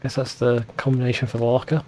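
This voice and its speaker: A man's voice: mid-range voice, little pitch movement, fast talker, dark tone, slight background noise. A man giving casual, sweary commentary over a video game in an informal, bemused way. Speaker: let's play video host.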